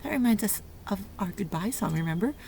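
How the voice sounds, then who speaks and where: A woman's voice: mid-range voice, lively intonation, a little fast, creaky, mellow, slightly rough, dry recording. A woman leading a lesson for young children in a playful, sing-song preschool teacher's manner. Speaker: early learning preschool teacher. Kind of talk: children's lesson with sung fingerplay rhymes.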